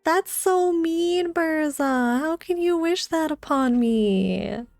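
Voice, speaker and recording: A woman casually chatting on a game livestream, playful and excitable, often repeating her phrases. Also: high voice, takes it slowly, creaky, dry recording, clean studio sound, faint music behind. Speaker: game streamer. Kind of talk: casual livestream chat while playing a gacha game.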